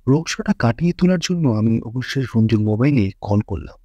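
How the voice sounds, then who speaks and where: A man's voice: medium pitch, lively intonation, brisk, dark tone, dry recording, highs cut off. A man reading a horror story as dramatic scripted narration.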